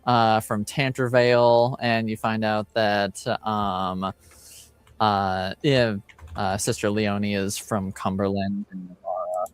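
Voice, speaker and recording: A man narrating an improvised tabletop roleplaying game as game master, halting as he searches for words. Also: fairly low pitch, level tone, clear, resonant tone, no room sound.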